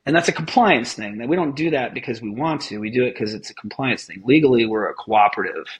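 This is a man guesting on a casual podcast. His pitch sits in the middle for a man. He rambles in an animated way and swears freely. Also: fast talker, rough recording. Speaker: event founder and guest.

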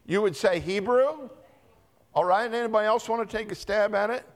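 A man's voice: sits high, normal speed. A man, a pastor, teaching a Bible lesson in church, quizzing the congregation and calling on people by name. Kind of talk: interactive Bible teaching with quiz questions.

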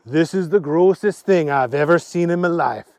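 A man's voice: a little high, moderate pace, a little mellow, no room sound, studio quality, lightly acted. A man playing an exasperated, squeamish father in a children's story, incredulous and emphatic. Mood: disgusted. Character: exasperated, easily disgusted human father.

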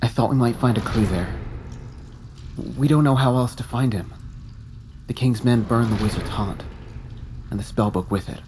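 A man's voice: mid-range voice, moderate pace, long pauses, noisy background, voice pushed up, natural voice. A man voicing a bewildered, earnest young boy in an audiobook, speaking hesitantly.

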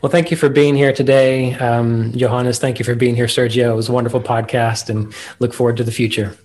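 A man hosts a podcast in a casual, conversational way. His voice sits at an average pitch for a man, and he is a little halting, sometimes doubling back on his words. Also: little pitch movement, a little mellow. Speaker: podcast host.

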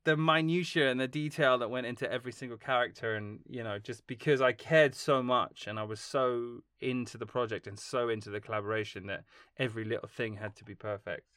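Animated male film composer, dry sound. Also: medium pitch, a little fast, hardly any pauses, clear, resonant tone, no room sound. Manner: animated, rambling storytelling with laughs. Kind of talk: casual interview chat.

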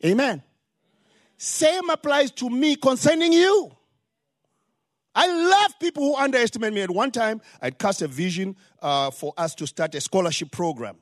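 An older man from Zimbabwe with a Zimbabwean accent, preaching a sermon in an emphatic style that builds to rising exclamations. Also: high-pitched. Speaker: pastor.

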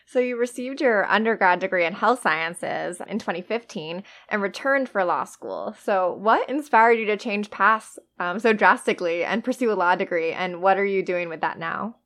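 A woman at a middle pitch hosting a podcast. She reads her intro in a polished, scripted way, then moves on to questions for her guest. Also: normal speed, few pauses, fairly bright tone. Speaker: podcast host.

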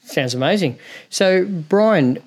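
An Australian man with an Australian accent, interviewing a guest and making dry, quick jokes. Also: sits high, animated. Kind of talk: casual interview talk.

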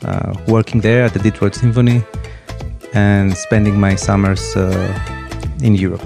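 A man's voice: fairly low pitch, flat delivery, unhurried, creaky, over music. A man with a Greek accent introducing himself casually as a musician guest. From Greece. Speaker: orchestral violinist, podcast guest.

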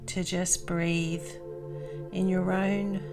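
A woman leading a guided meditation as host, calm and soothing, in deliberate phrases with long pauses. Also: lowish voice, gravelly, loud music behind the voice.

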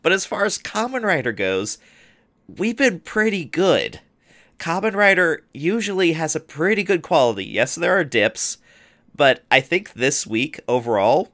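A man's voice: fairly high pitch, sing-song, a little slow, fairly bright tone. A man co-hosting a podcast in casual chat, teasing and wry, often laughing. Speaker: podcast co-host.

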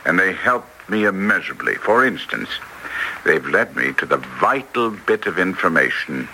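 A man playing a brisk, masterful English detective in classic radio drama style, theatrical and clipped, with an English accent. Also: deep voice, sharp tone, exaggerated voice. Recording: noisy vintage radio recording.

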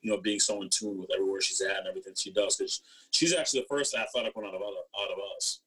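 Young male guest, low husky voice, casual rambling storyteller with slangy phrasing. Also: rapid-fire, fairly bright tone, rough recording. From East Africa, born in Ethiopia. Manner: rambling, slangy, frequent "you know what I mean". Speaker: interview guest, former athlete.

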